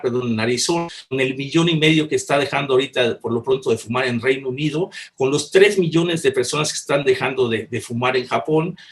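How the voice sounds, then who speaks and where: A man from Mexico, a panelist speaking earnestly in a conference talk, restarting phrases and explaining with everyday examples, heard over a video call. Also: mid-range voice.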